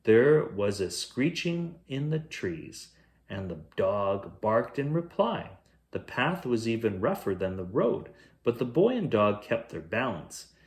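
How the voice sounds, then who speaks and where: A man reading a children's picture book aloud as a bedtime storyteller, in a measured, expressive way. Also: medium pitch. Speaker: bedtime story reader, host.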